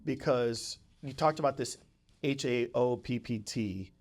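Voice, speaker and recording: A man's voice: mid-range voice, a little slow, a little mellow, soft background music. A man interviewing someone in a casual, conversational way, restating points and affirming the guest. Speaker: podcast interviewer, physical therapist.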